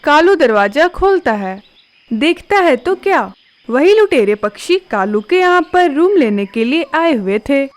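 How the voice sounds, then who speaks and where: A woman narrating a cartoon story in a steady, clear storytelling manner. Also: sits high, measured pace.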